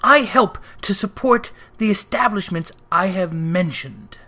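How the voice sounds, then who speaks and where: A storyteller voicing a cold, miserly old man from a classic storybook, gruff, curt and scornful in manner. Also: medium pitch, unhurried, pushed and bright, pitched above the performer's own voice. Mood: cold, dismissive. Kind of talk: dramatic storybook character reading.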